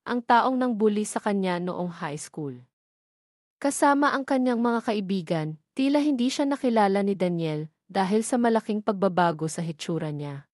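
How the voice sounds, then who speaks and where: A woman's voice: medium pitch, darkish tone, no room sound, clean studio sound. A woman with a Filipino accent reads a scripted love story as an audio drama narrator.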